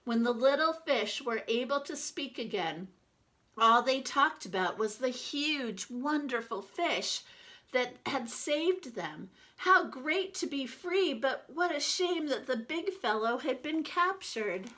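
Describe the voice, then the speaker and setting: Bright, high-voiced woman reading a storybook. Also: lively intonation. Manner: deliberate, dramatic emphasis with asides to listeners. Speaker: teacher reading a picture book aloud. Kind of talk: expressive children's storybook read-aloud.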